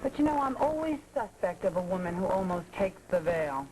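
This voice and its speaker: A poised, teasing flirt. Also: female voice, on the low side, big pitch swings, a little fast, poor audio. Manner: teasing, wry, self-assured. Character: poised, flirtatious professional woman. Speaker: soap opera character. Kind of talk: 1980s daytime soap acting.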